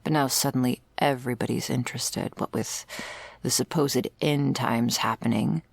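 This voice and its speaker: A woman playing a jaded, sardonic vampire's servant in an intimate ASMR roleplay, talking in a wry, world-weary, gravelly way. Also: a bass voice, fairly even intonation, moderate pace, no echo, exaggerated voice. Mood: dry, musing. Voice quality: very creaky, gravelly. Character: jaded, sardonic female vampire's servant explaining undead lore.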